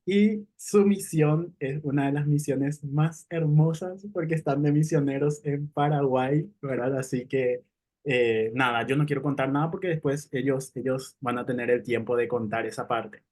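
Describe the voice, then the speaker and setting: A man's voice: mid-range voice, steady tone, moderate pace, darkish tone. A man introducing the guests on a casual talk show in a friendly way, often ending sentences with a questioning tag.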